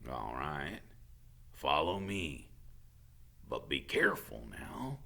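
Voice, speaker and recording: A man voicing a gruff, burly gamekeeper in a storybook, kindly but wary, with folksy, hesitant, ungrammatical speech. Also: rumbling low, sing-song, takes it slowly, bright, forceful tone, voice pushed down, heavily acted. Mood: wary, hushed.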